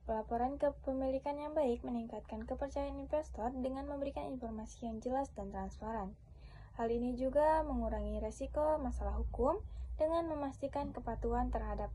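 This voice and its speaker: A woman's voice: fairly high pitch, dark tone, a little hiss or hum, poor audio. A young woman giving a scripted student presentation, reciting formally point by point. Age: young adult.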